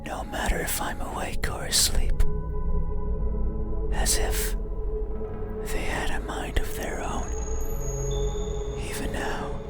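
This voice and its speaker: Horror game monster male actor: slow, breathy whisper, lonely and creepily intimate, craving to be seen. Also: lightly acted. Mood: obsessive longing. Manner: slow, halting, intimate, menacing. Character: lonely, creeping shadowy night creature, obsessively yearning to be seen. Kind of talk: whispered horror visual novel monologue. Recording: eerie horror music under the voice. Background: loud scary music.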